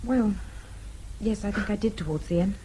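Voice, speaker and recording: An actress playing a naive, highly strung young British woman in a radio drama, fretful, expressive and well-spoken. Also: moderate pace, a little hiss or hum, close to an everyday voice. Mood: peevish, dismayed.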